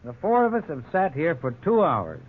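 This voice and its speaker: American attorney, 1950s radio drama: diplomatic, formal, widely swinging intonation, narrow vintage broadcast sound. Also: male voice, fairly high pitch, sing-song, normal speed. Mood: firm, reasoned. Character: measured, courteous male attorney negotiating for clients. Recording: tinny, noisy 1950s radio recording.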